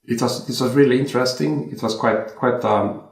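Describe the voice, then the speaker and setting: A young Swedish man who works as a watchmaker, chatting in a relaxed, hesitant way and often repeating words as he recalls his training and jobs. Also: medium pitch, a little fast. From Sweden. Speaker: watchmaker guest. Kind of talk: casual interview chat. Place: room in a home. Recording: roomy home interview.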